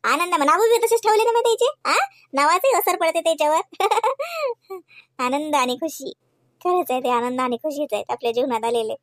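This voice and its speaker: A woman's voice: high voice, expressive, normal speed, dry recording, studio quality. A woman voicing a practical, good-natured young wife and mother in a comedy cartoon, homely and chatty, with a Varhadi Marathi accent. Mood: fond, teasing. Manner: chatty, homely, matter-of-fact. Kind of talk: homemade comedy cartoon dialogue.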